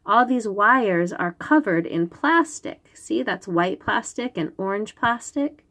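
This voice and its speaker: Slow, animated woman reading to children. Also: medium pitch. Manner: instructive, questioning, enunciates key words for small children. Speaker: host reading picture books aloud to young children. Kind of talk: read-aloud storytime with simple explanations.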